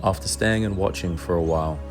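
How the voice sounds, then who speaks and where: A man with a low voice tells the story of a safari in an earnest, reflective voice-over. Soft music plays beneath him. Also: a little fast. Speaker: wildlife photographer and vlogger. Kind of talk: reflective travel narration.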